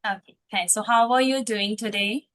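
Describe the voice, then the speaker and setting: A woman's voice: mid-range voice, steady tone, normal speed, fairly bright tone. A woman from Punjab with an Indian English accent, hosting a video call interview in a polite, formal and careful manner. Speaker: host, spoken English teacher.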